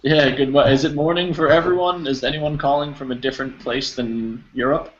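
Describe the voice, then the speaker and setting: A man's voice: mid-range voice, fairly even intonation, a little fast. A man, teaching an English class online in a friendly, encouraging way with simple words. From New Jersey, United States. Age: 20s. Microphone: video call. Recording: muffled video call audio.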